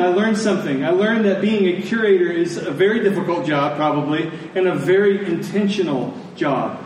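A man preaching a sermon in a conversational, deliberate way, telling stories and explaining scripture, pausing and repeating phrases for emphasis. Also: sits high. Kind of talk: sermon with storytelling and scripture exposition. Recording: echoey room, distant mic, faint noise.

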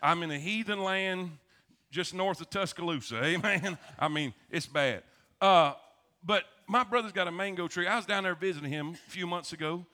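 A male pastor with a Southern US accent, preaching in a folksy, animated storytelling way and repeating phrases for emphasis. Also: sits high, moderate pace. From South Florida, United States. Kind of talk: sermon, folksy storytelling to a congregation.

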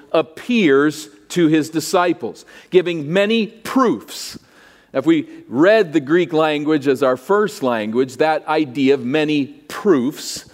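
A man preaching an expository sermon in deliberate, emphatic phrases with explanatory asides, recorded in a large reverberant hall. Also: a little high, a little mellow.

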